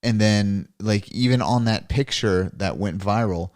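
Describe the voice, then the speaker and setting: Laid-back man with a low voice. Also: measured pace, a little mellow. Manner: laid-back, swears casually, laughs a lot. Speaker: podcast host. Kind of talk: casual chat.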